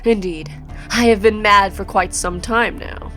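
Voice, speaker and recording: A woman's voice: mid-range voice, steady tone, unhurried, fairly bright tone, lightly acted. Lady voicing crazy bitter villain sorcerer guy, formal dramatic game monologue, music underneath. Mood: bitter, vengeful. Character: mad, vengeful male villain sorcerer, bitter and grandiose.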